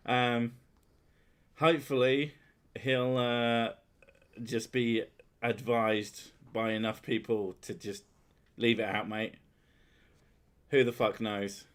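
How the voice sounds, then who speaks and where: A man's voice: medium pitch, little pitch movement, slow, pauses often, smooth. A man, chatting casually with his partner on a stream, swearing freely and mocking himself. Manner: sweary, self-mocking, loud laughing. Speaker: streamer host. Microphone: headphones and desk mic.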